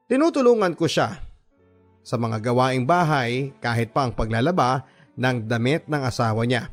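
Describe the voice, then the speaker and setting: A man with a Filipino accent reads a first-person story as a radio drama narrator, earnest and sentimental, with deliberate phrasing. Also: mid-range voice. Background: background music.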